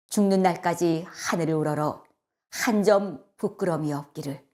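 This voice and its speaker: Woman as young male poet, storybook recital, wistful, earnest, drawn-out pacing, fairly low pitch. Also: a little mellow. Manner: solemn, measured, wistful. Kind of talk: storybook acting and solemn poetry recital.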